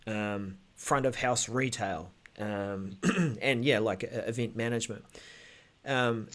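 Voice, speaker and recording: A man's voice: fairly low pitch, takes it slowly, a bit bright. A man co-hosting a casual tech show, explaining things tentatively as he thinks aloud.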